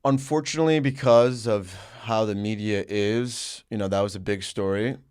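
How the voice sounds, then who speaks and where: A young man whose first language is Dutch, answering questions calmly and earnestly, often stumbling over restarts. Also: lowish voice, clear, resonant tone, no room sound, clean studio sound. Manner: calm, measured, earnest with stammered restarts. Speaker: interview guest. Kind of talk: casual conversation.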